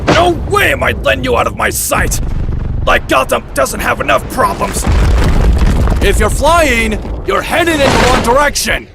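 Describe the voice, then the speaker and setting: An actor playing a brash, agitated young hero in an animated comic, urgent and exasperated. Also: male voice, very high-pitched, a little slow, gravelly, exaggerated voice. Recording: loud music and explosions behind.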